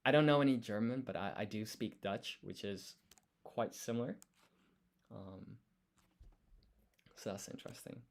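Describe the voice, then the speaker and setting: Slow, deliberate man on a study livestream. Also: fairly low pitch, gravelly. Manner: thinking aloud, sounding out words syllable by syllable. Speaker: live streamer studying Japanese. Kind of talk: casual live study chat.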